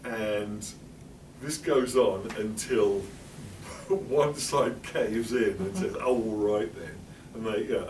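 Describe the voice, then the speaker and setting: Wry English man, lower voice, roomy hall. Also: darkish tone, a little hiss or hum. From England. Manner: chatty, wry, many fillers and laughs. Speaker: presenter. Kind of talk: illustrated library talk. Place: public library meeting room. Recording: roomy hall, speaker far from mic.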